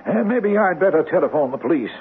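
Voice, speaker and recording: An actor playing a courteous, reassuring doctor in a 1970s radio drama, with a polite, hesitant delivery. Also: male voice, a little high, sing-song, fast talker. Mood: courteous, concerned. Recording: noisy, tinny old radio broadcast recording.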